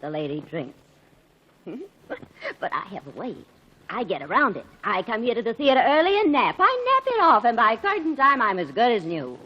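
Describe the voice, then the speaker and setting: A woman's voice: fairly high pitch, huge pitch swings, moderate pace. A woman playing a tipsy, bitter stage actress in a 1940s radio drama, theatrical and sarcastic. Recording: dull old radio broadcast.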